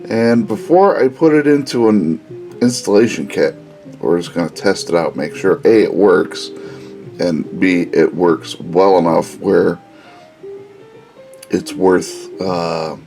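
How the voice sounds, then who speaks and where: Slow, gravelly-voiced man reviewing gear. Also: deep voice. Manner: relaxed, unhurried explaining with frequent "uh"s. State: scratchy voice. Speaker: car audio hobbyist reviewer. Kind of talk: casual hands-on product review.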